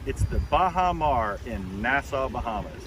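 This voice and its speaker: A man's voice: medium pitch, expressive, a little slow. A man presenting an upbeat on-location video segment outdoors by the sea, in a promo-style announcer delivery. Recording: windy outdoor audio, from a distance. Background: wind and water noise.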